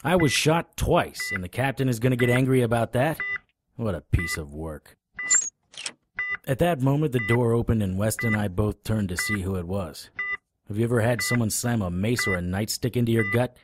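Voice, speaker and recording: A man playing a hard-boiled private eye with a low voice and a wry, sarcastic edge. He tells his supernatural noir story in the first person, trading wisecracks as he goes. There is clear noise in the audio drama recording. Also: animated, normal speed, close to an everyday voice.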